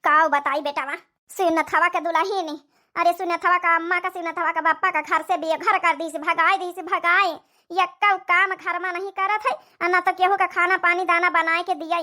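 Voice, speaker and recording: A woman's voice: extremely high pitch, steady tone, normal speed, bright, forceful tone. A woman voicing a fretful, talkative cartoon village mother-in-law with a rural Awadhi accent and dramatic, repetitive chatter. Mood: alarmed, complaining. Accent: Awadhi, rural north India. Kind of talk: cartoon comedy dialogue.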